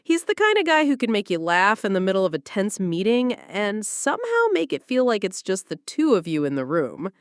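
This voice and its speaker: Expressive woman narrating a confessional story. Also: medium pitch, a little fast, few pauses, no echo, studio quality. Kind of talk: dramatic first-person story reading.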